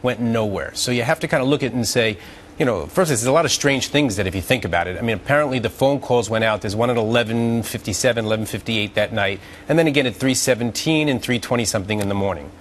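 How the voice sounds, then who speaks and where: A man's voice: medium pitch, fast, a little hiss or hum. A man from the United States arguing as a legal panelist in a live TV debate, emphatic, colloquial and argumentative.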